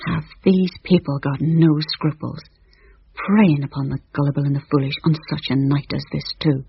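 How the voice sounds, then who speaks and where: A husky male narrator with a slightly raised pitch, reading a storybook ghost tale in a measured way. The sound is dry and dull, close to the mic. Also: flat delivery, no echo.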